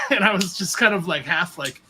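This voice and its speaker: A man, co-hosting a podcast and telling loud, self-mocking stories about himself in casual banter. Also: high-pitched, moderate pace, heavy background noise. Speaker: podcast co-host.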